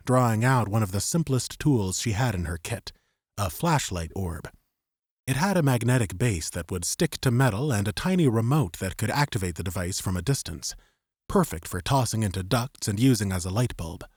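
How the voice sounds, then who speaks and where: Low, husky male audiobook narrator. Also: a little mellow, dry recording, clean studio sound. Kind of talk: scripted fiction narration.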